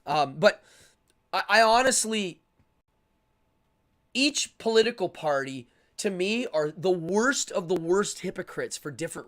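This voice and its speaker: A man hosting a live stream, talking casually and opinionatedly as he answers chat, rambling and repeating words in quick bursts. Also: fairly high pitch, a bit bright. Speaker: live-stream show host.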